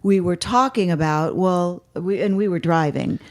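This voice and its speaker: A woman, a guest musician, chatting casually and telling lively anecdotes in which she acts out what people said. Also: on the low side, moderate pace. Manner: lively anecdotes acted out in quotes. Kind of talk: casual interview chat.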